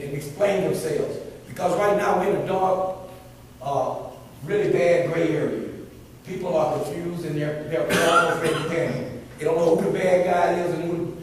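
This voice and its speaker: A man with a big voice makes a rambling, heartfelt public comment at a town board meeting. His voice sits somewhat high, and he is heard from well away from the mic. A long, echoing hall reverb surrounds him, with faint room hiss. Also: darkish tone. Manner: earnest, rambling, preacher-like appeals. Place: large echoey meeting hall. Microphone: room mic from a distance. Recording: echoey hall from far off.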